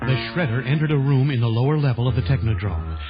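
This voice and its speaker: A man's voice: mid-range voice, fast talker. A man narrating a children's audio drama in a scripted, dramatic storybook style, on a dull lo-fi tape. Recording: dull lo-fi tape, loud music behind.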